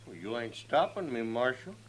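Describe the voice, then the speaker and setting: An actor playing a defiant, self-excusing hired outlaw with a surly, folksy delivery in a 1950s western radio drama. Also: male voice, medium pitch, very animated, moderate pace, slight background noise, close to an everyday voice, poor audio.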